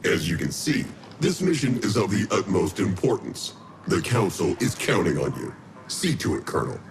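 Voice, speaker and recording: Deep, formal director. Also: male voice, sing-song, normal speed, noisy background. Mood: stern. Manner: formal, authoritative. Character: formal male director of an alliance council.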